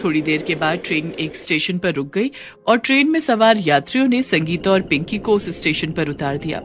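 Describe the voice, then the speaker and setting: A woman's voice: fairly low pitch, expressive, fairly quick. A woman narrator reading a scripted, dramatic moral story.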